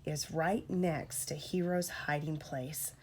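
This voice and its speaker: A woman's voice: sits low, steady tone, slow pace, darkish tone, a little hiss or hum, voice pushed down. A woman voicing an eager, earnest young male steam engine in a storybook. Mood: worried.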